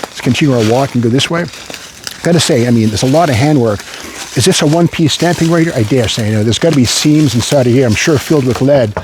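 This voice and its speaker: A man's voice: mid-range voice, fast talker. Man giving rambling car commentary, background noise. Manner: rambling, repeats phrases, wry asides. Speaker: car show host. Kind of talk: unscripted walkaround car commentary.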